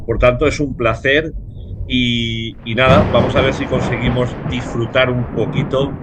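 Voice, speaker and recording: A man from Spain with a Castilian Spanish accent, a guest professor in a relaxed academic conversation, speaking courteously and carefully with hesitant fillers. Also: medium pitch, moderate pace, very noisy.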